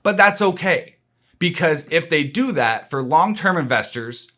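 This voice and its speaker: Bright-voiced man giving stock commentary. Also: a little high, expressive, unhurried. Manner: conversational, explanatory, opinionated. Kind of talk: informal analytical commentary.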